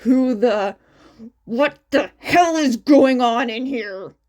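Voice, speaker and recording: A woman voicing an aggressive, hot-tempered teenage boy in a story, forceful and barking. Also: on the high side, slow. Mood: angry, demanding.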